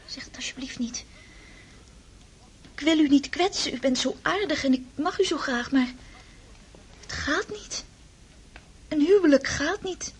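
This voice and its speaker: A woman's voice: high voice, unhurried, pauses often. A woman playing a naive, emotional teenage noblewoman in a radio drama, faltering and breathless as she speaks. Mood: sorrowful. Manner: breathless, faltering, swooping delivery.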